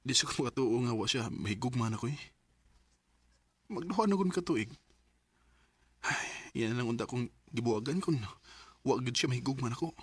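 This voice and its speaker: Devoted young boyfriend, Bisaya accent, husky mid-pitched voice, earnest, patient, radio drama. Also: male voice, animated, moderate pace, pauses often, no echo. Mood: hurt, defensive. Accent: Filipino Bisaya. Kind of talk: Filipino radio drama acting.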